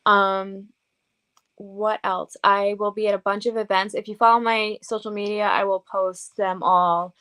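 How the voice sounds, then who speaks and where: Long island lady, medium pitch, chatty and kinda goofy, pokes fun at herself, casual guest chat. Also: flat delivery, a little slow, sharp tone, smooth. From Long Island, New York. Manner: playful, self-deprecating, chatty. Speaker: podcast guest, model and horror hostess.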